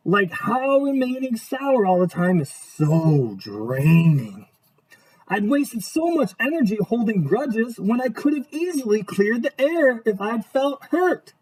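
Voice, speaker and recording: A man's voice: extremely high pitch, husky, heavily acted, low-quality recording. A man voicing a cheerful reformed grape. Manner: earnest, lively storybook narration.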